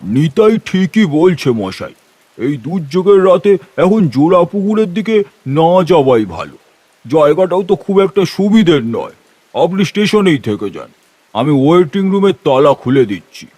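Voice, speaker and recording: An actor voices an elderly male station master in a horror audio drama, wary and admonishing. His delivery swings widely in pitch, close and dry, with rain falling behind him. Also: sing-song, moderate pace, mellow, no echo. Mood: firm warning. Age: older. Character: wary old railway station master, firmly cautioning a stranger. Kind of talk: audio horror story acting.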